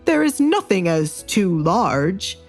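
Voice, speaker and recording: A woman's voice: mid-range voice, big pitch swings, slow, full character voice. A greedy middle-aged father, played by a woman narrator, talks with fawning eagerness. He is ingratiating and blustering, in an audio drama over a music bed. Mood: flustered.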